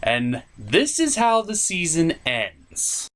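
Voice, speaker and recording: A man's voice: fairly high pitch, huge pitch swings, slow pace, a bit bright. A man hosting a video review, giving enthusiastic, theatrical commentary with emphatic, stretched-out words.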